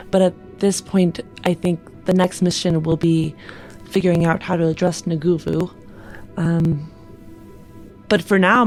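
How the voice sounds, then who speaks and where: Courteous, hesitant female spacefarer, played by a woman, sincere and plain-spoken, lowish with vocal fry, sci-fi tabletop game. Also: darkish tone. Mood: sincere, subdued. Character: earnest, polite woman space traveler, adoptive mother. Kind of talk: improvised in-character tabletop roleplay.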